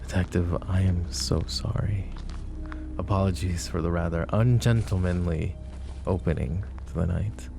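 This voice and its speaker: A man's voice: sits low, steady tone, unhurried, over music. A man voicing a suave, possessive mafia boss who flirts with the listener in a polished, courteous way that carries an undertone of menace. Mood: silky flirtatious. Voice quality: smooth, courteous, teasing menace. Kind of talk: roleplay audio drama, addressing the listener.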